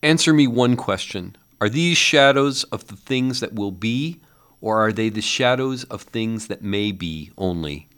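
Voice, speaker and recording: A man's voice: on the low side, expressive, unhurried, fairly bright tone, smooth, pitched above the performer's own voice. A man playing a frightened old miser, strained and pleading. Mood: anxious. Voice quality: strained, rising to anguished cries. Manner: earnest, beseeching, old-fashioned speech. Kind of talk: audiobook character voice.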